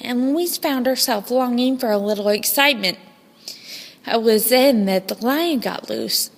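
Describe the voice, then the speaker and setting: A woman narrates a children's storybook in a high, lively voice. She is dramatic and deliberate, taking her time over the phrases. Long reverb surrounds her voice. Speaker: storybook reader. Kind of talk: scripted children's story narration, read aloud expressively.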